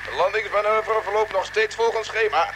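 High-voiced, dutiful space pilot played by a man. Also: steady tone, fairly quick, close to an everyday voice. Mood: upbeat. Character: dutiful, cheerful male spaceship pilot on radio. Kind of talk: vintage Dutch radio drama acting. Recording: noisy old radio recording.

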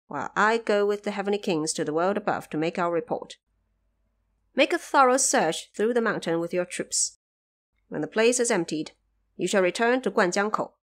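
A woman's voice: medium pitch, natural voice. Male warrior god, voiced by a woman, commanding, formal, dutiful, authoritative, fantasy audio drama.

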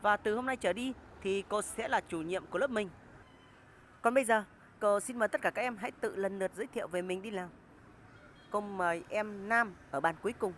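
A woman's voice: mid-range voice, brisk, a bit bright, slight background noise, natural voice. A woman voicing a polite new homeroom teacher in a cartoon, formal and encouraging. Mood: formal, welcoming.

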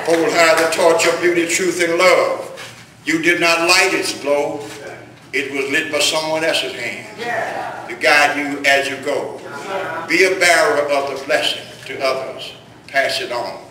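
Echoey, high-pitched older man preaching. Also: steady tone, a little slow, pushed and bright. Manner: emphatic, declamatory, building through repetition. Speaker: preacher, guest reverend. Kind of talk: rhetorical church sermon with repeated refrains. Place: large church sanctuary, at a pulpit. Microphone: far camera in the congregation. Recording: echoey church hall, distant mic, congregation noise.

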